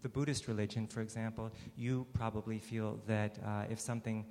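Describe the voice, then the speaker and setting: A male psychiatrist explains his view on a panel in a measured, academic way, hedging as he goes. His voice is fairly low. The sound carries a long hall reverb. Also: flat delivery, a little mellow. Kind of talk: panel discussion. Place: large hall with an audience.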